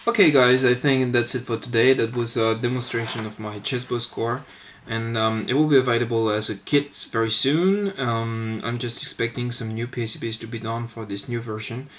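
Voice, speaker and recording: Male hobbyist presenter, medium pitch, halting informal demo, noisy workbench recording. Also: steady tone, fairly bright tone. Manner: hesitant, halting delivery. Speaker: electronics hobbyist presenting own board. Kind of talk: informal product demonstration. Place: indoors at a workbench. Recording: noisy webcam-style audio with clicks.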